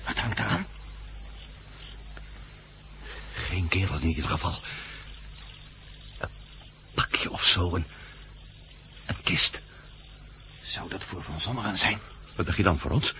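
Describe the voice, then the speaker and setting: A man's voice: sits low, animated, unhurried, pauses often. A man acting a wary, wry adventurer in a Dutch radio play, with a Dutch accent. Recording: noisy old radio drama with outdoor ambience.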